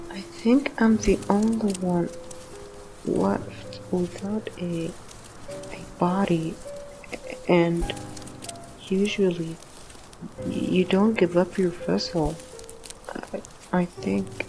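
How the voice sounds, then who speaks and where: A woman's voice: medium pitch, steady tone, very slow, pauses often, music in the background, rough recording. A woman voicing a shy, self-doubting ghost in an audio drama, hesitant and trailing off.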